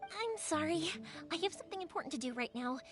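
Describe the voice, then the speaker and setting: Casting: spirited young female fireworks maker in a video game; very high, animated, expressive. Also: fast talker, faint music behind. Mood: friendly, apologetic.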